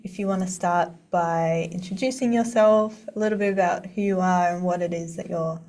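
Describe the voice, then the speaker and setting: A woman heard at some distance from the microphone, with a soft-edged, mellow tone. Her voice sits a little low. She asks questions as a conversational interview host. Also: steady tone, moderate pace. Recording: muffled, distant from the mic.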